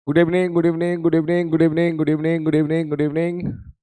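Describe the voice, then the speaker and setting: Hoarse guy physics teacher, kinda high voice, chatty live class, dry close sound. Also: monotone, a little fast, mellow, no room sound. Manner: chatty, repeats greetings and calls students "beta".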